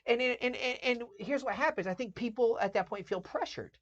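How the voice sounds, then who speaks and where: A woman pastor co-hosting a casual podcast, animated as she acts out both sides of her conversations. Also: on the high side, moderate pace. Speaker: pastor and co-host. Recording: dull lo-fi podcast audio.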